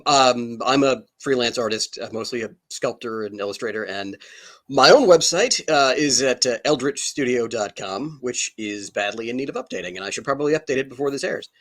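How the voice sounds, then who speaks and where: A man's voice: mid-range voice, animated, fairly quick, a bit bright. A man chatting casually as a panelist on a video call, with a wry, self-deprecating manner. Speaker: panelist, freelance artist. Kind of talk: casual chat.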